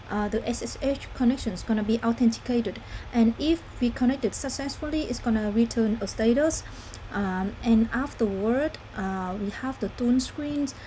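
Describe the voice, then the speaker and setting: A young woman from Vietnam, walking through a demo of her student app in a careful, halting way with many fillers. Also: fairly high pitch, mellow, slight background noise, low-quality recording. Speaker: student intern presenting an app. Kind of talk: screen-recorded app demo walkthrough.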